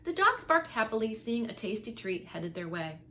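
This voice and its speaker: Bright-voiced woman reading a children's story. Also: a little high, moderate pace, a little hiss or hum. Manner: animated, dramatic emphasis for young listeners. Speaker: preschool teacher reading aloud. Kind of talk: expressive children's story narration.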